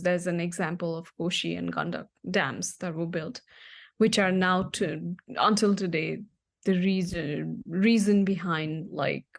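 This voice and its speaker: A woman's voice: on the low side, gravelly. A young woman from Nepal, a guest answering in a podcast interview with many fillers and restarts.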